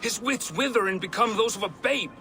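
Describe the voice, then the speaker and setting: Exasperated, high-voiced man. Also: expressive, brisk, bright, forceful tone, faint music behind. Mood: weary. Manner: exasperated, blunt. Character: gruff, weary son tending his senile father. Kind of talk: video game cutscene acting.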